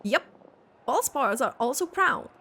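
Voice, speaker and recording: A woman voicing an earnest young sports organiser in a video game, brisk, practical and friendly. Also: sits high, big pitch swings, gravelly, bright, forceful tone, exaggerated voice. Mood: upbeat. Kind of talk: video game character acting.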